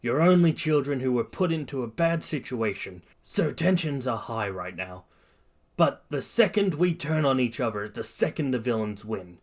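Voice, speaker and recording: A man voicing a stern, weary teacher of young heroes in a narrated story, with a measured, authoritative manner. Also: mid-range voice, expressive.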